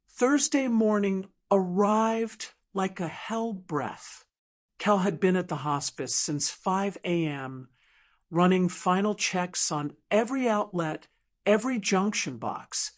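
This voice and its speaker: A woman narrating an audiobook drama in a measured, emotive storytelling manner with deliberate pauses. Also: fairly low pitch.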